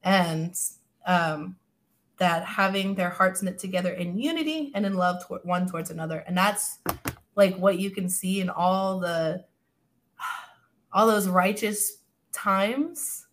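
A woman's voice: lowish voice, slow pace, a little hiss or hum. Woman telling family stories, laughing. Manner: laughing, animated storytelling with quoted voices. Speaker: guest. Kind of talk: casual podcast chat.

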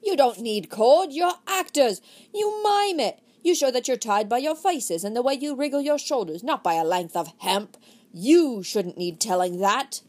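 Woman voicing a booming theatrical commander. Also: sing-song, normal speed, a little mellow. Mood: scolding. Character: commanding male actor-manager, theatrical and proud.

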